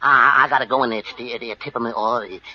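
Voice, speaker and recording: A man's voice: medium pitch, fairly even intonation, natural voice. An actor playing a goofy, bashful young man with a heavy stutter in an old radio comedy. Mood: anxious. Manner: flustered, halting, tripping over words. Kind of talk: 1940s radio comedy acting. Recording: noisy, dull old radio recording.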